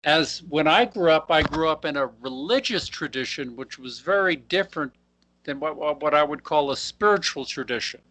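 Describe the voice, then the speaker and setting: A man hosting an interview, asking questions in a halting way and rephrasing mid-sentence. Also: fairly high pitch, lively intonation, pushed and bright, rough recording. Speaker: interview host. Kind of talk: conversational interview.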